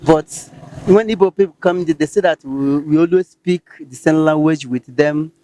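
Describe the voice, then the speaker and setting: A man's voice: on the high side, moderate pace, warm tone, poor audio. A Nigerian man from Rivers State, answering interview questions hesitantly in Pidgin-tinged English. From Etche, Rivers State, Nigeria. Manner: hesitant, many fillers and restarts.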